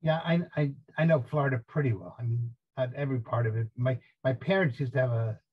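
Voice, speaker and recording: A man's voice: mid-range voice, quick pace, slightly rough, dull audio. A middle-aged man from New Jersey with a New Jersey accent, chatting and joking on a video call. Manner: chatty, joking, repeats words. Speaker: guest. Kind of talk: casual chat.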